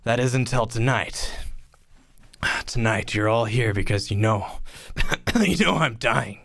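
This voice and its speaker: A man playing a bitter, menacing old uncle on his deathbed in a radio play, halting and theatrical, with coughs and sighs. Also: mid-range voice, fairly bright tone, no room sound, clean studio sound, full character voice. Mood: bitter accusation. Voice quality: coughing, breathy sighs and laughs.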